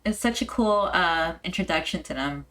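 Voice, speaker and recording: A woman's voice: fairly low pitch, expressive, moderate pace, pushed and bright. A woman hosting a livestream, chatting casually with her viewers. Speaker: livestream host.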